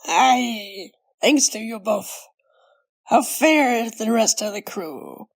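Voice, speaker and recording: A woman's voice: fairly high pitch, lively intonation, slow, faint music behind, exaggerated voice. A woman voicing a plucky, earnest female pirate pony with salty sailor talk in a cartoon comic dub. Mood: gruffly grateful. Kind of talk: animated comic dub voice acting.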